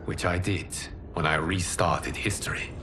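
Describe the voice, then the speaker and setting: An actor playing a calm, wise former god turned monk-champion in a video game cutscene, speaking in a measured, solemn and respectful way. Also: male voice, deep voice, noisy background. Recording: loud orchestral score underneath.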